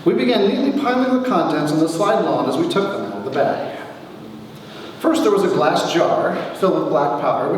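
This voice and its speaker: A middle-aged man giving a wry, theatrical reading of his own comic memoir as its author, with deliberate pauses, recorded in a large echoey hall from a distance. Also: a little high. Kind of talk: dramatic comic memoir reading to a live audience. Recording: echoey hall, distant mic, faint noise.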